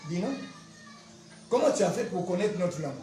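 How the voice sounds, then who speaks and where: A man, a pastor giving an animated, emphatic testimony and teaching with repetitive storytelling. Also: high voice, brisk. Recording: roomy echo, faint music behind.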